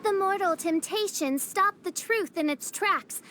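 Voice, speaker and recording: A self-styled teenage princess from a video game, declaims in a very high voice. She is haughty and theatrical, pompous in every phrase. A faint rumble sits behind. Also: measured pace, fairly bright tone.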